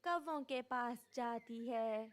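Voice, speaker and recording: A woman's voice: a little high, slow pace, slight background noise. A woman narrating a children's story as a scripted storyteller.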